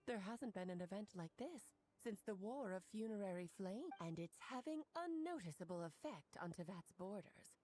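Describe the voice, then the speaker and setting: A woman's voice: mid-range voice, lively intonation, moderate pace, hardly any pauses, dark tone, soft background music, natural voice. A woman playing a breezy, self-assured, motherly mage in a video game, articulate and theatrical, playfully explaining things. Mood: explanatory. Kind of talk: video game voice acting, expository dialogue.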